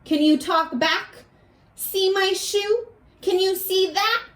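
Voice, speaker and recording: A woman voicing a big bossy kid in a children's picture book, taunting with emphatic, drawn-out words. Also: very high voice, fairly bright tone, voice pushed up, full character voice. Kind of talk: picture book read-aloud for young children.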